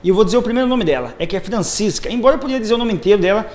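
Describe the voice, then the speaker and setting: A man's voice: high voice, expressive, very fast, a little mellow. A man working as a social security lawyer, methodically explaining pension rules step by step on a live TV program. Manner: methodical, lays out cases step by step.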